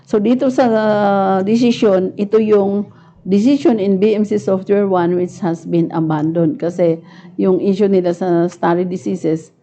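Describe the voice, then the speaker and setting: A woman's voice: mid-range voice, fairly even intonation, normal speed, dark tone. A woman with a Filipino accent giving a live online lecture in a conversational way, often adding a questioning tag to her phrases. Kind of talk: live online lecture, explaining and reading out court decisions.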